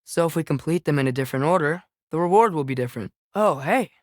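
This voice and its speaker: A man's voice: a little high, expressive, fast, no room sound, studio quality, close to an everyday voice. A young man playing an eager, earnest, curious teenage boy, a companion in a video game, fighting beside his father.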